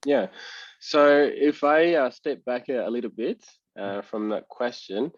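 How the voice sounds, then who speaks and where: A man in his thirties from Sudan, answering interview questions in a measured, reflective way. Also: mid-range voice, animated, no room sound, dull audio. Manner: measured and reflective, pausing to gather thoughts. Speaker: interview guest.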